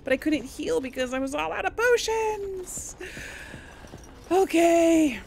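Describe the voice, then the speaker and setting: A woman giving casual, expressive gameplay commentary, reacting aloud and talking to the game's characters. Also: very high voice, slow, a little hiss or hum. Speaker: gamer narrating a role-play let's play. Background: game music behind the voice.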